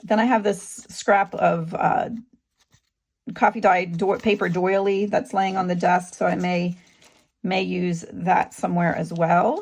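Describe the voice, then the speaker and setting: Middle-aged woman, sore throat, low and gravelly, relaxed halting craft-tutorial host. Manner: relaxed, halting, with frequent ums. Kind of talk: casual craft tutorial narration.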